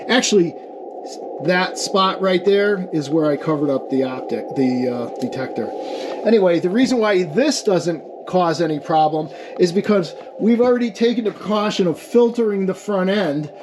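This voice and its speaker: Male hobbyist demonstrator, slightly high voice, informal and explanatory, with clear background noise on the recording. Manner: explanatory, checks in with "okay" and "right". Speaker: amateur radio experimenter demonstrating equipment. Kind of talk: informal hands-on technical demonstration.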